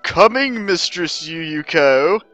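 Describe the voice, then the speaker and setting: Man putting on a dutiful, high-pitched servant girl. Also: expressive, takes it slowly, sharp tone, no echo, soft background music, heavily acted. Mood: eager. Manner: deferential, exclaiming. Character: dutiful, polite young servant girl, devoted to her mistress.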